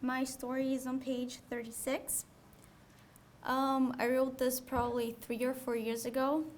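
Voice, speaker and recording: A woman's voice: sits high, little pitch movement, measured pace. A young woman whose first language is Portuguese, introducing and reading her short story at a podium. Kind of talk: prose reading. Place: small lecture room with audience. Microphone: podium gooseneck mic.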